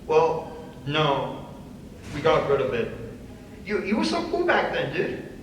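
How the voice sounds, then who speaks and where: A man's voice: fairly high pitch, wildly swooping pitch, slow, exaggerated voice. A man acting in a live reading as a starstruck young fan, nervous, gushing and stammering. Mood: awkward. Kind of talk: live dramatic script reading. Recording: distant echoey hall, faint noise.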